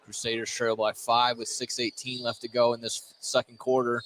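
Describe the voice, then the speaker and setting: A man's voice: mid-range voice, flat delivery, normal speed. A man with an American accent doing live play-by-play radio commentary of a basketball game. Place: indoor sports gym. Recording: loud gym noise behind. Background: loud game ambience.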